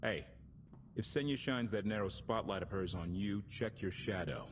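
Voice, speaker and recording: Man playing a lowish-voiced loyal rebel captain. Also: moderate pace, a little hiss or hum, close to an everyday voice. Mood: sheepish, determined. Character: earnest, loyal, wary male rebel crew captain. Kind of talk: video game cutscene acting.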